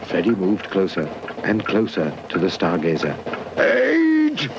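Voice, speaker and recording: A British man narrating a children's story in an animated, expressive storybook style. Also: low-pitched, normal speed, noisy background. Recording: dull lo-fi VHS tape transfer. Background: music.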